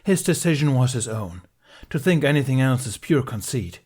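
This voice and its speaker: A man's voice: medium pitch, expressive, normal speed, darkish tone, husky, pitched above the performer's own voice. A man voicing a furious, grieving middle-aged knight who speaks in a heated, accusing, raised voice in an audiobook reading.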